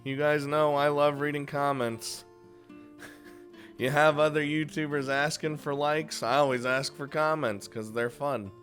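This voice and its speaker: Slow-paced man, casual YouTuber, music behind. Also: fairly high pitch, fairly even intonation, a bit bright. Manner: informal, chuckling, frequent swearing. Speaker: video game YouTuber hosting a top five list. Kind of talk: casual video commentary on visual novels.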